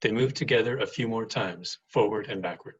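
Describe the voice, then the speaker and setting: A man reading stage directions as scripted narration, with a husky voice. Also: fairly low pitch, fairly quick, muffled recording. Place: indoors, on a video call.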